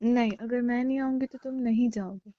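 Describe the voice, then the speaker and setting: A clingy, jealous young girlfriend played by a woman argues in a fairly high voice. She is sulky, insistent and pleading, in a casual romantic call drama. The recording is slightly dull and lo-fi, like a phone call. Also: fairly even intonation, fairly quick, lightly acted.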